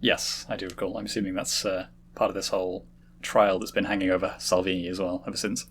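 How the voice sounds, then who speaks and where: A man's voice: sits low, flat delivery, fast talker, a bit bright, breathy edge. A man hosting a casual podcast discussion, talking in a wordy, explanatory way. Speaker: host leading a discussion.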